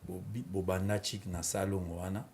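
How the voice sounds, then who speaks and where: A man's voice: sits low, flat delivery, moderate pace, vocal fry, a little mellow, a little hiss or hum. A man with a Kinshasa accent, a guest on a talk show, calling people one by one to contribute. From Bandalungwa, Kinshasa. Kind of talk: talk show interview, appeal to the community.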